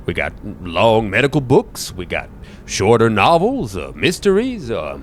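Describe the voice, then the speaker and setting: A man's voice: on the low side, wildly swooping pitch, a little slow, a little hiss or hum. A man voicing a genial, dotty old bookshop keeper in a tabletop roleplay, hesitant, apologetic and full of folksy exclamations. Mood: rambling, helpful.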